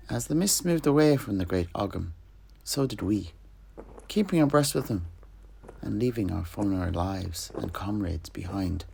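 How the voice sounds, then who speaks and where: A man plays a wistful fantasy alchemist telling tales of his homeland. His voice is low and gravelly, his delivery measured and poetic, with faint noise behind it. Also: darkish tone. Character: wistful male alchemist truth-sayer recounting his homeland. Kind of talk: in-character fantasy storytelling.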